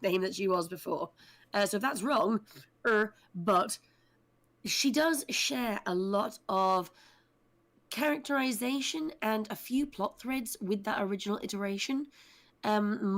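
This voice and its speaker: A woman speaking casually as a director on a discussion panel, thoughtfully explaining and pausing to recall details. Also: medium pitch. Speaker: audio drama director, writer and voice actor, panel guest.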